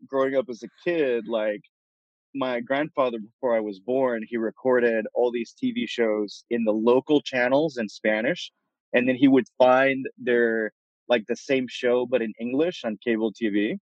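A man's voice: mid-range voice, fairly even intonation, normal speed, no echo. Man, from Puerto Rico, mid-range voice, reflective, articulate, interview guest, slightly lo-fi dry recording. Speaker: podcast guest, author.

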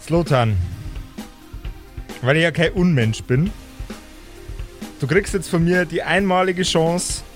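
A man's voice: on the high side, slow pace, long pauses, faint music behind. A man narrating an improvised tabletop game as game master, with a theatrical storytelling manner.

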